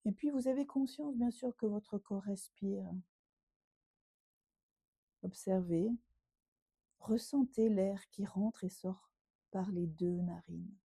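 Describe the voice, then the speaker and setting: A woman yoga teacher leading a guided relaxation meditation in a gentle, unhurried, instructing way with pauses. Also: mid-range voice, creaky, husky, no room sound.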